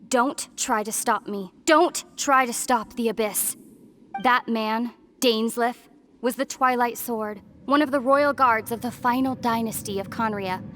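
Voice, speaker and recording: A woman playing a solemn young princess and long-lost sister in a dramatic video game, grave and deliberate with sorrowful resolve. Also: on the high side, a bit bright, a little hiss or hum. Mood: grave warning.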